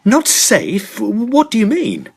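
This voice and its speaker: Man acting as stubborn young Victorian student, animated delivery. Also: high-pitched, slow pace, gravelly, breathy edge, heavily acted. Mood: incredulous, challenging. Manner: formal, old-fashioned phrasing. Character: Abercrombie Smith, stubborn young Victorian Oxford student, brisk and sceptical. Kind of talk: audio drama acting and first-person narration.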